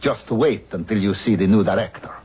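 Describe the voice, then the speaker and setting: Actor as bitter low-voiced film director, old radio sound. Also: male voice, brisk, over loud music, natural voice. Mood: bitter, agitated. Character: bitter, insistent male film director with a secret. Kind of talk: radio drama acting. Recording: dull, hissy radio broadcast recording.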